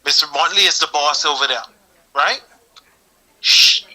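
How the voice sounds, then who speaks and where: A man's voice: on the high side, unhurried, pushed and bright, rough recording. A Bahamian man calling in to a talk show, arguing earnestly and building up heat as he goes. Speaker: caller. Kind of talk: call-in opinion.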